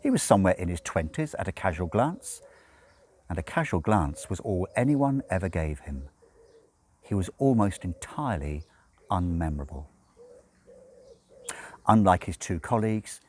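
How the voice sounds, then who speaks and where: Low-voiced man narrating with very lively intonation. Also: normal speed, slight background noise. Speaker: audiobook reader. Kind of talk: expressive scripted narration of a novel.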